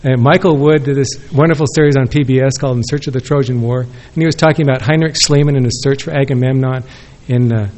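Male conference respondent at podium mic, conversational and rambling, mid pitch. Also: steady tone, fast talker, few pauses, darkish tone. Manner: rambling, self-correcting, conversational. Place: conference room with audience. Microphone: podium microphone.

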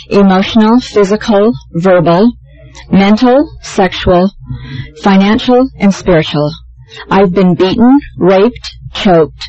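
A soft-voiced woman giving a prepared personal testimony as a panel speaker, steady and measured, listing things one by one. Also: medium pitch, dull audio. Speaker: speaker on a victim empathy panel.

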